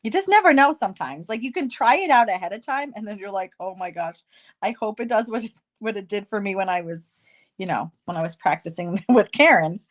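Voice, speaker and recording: A woman hosting a casual card-making tutorial, chatty and self-deprecating, slightly hoarse with a stuffy nose. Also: medium pitch, animated, fairly quick.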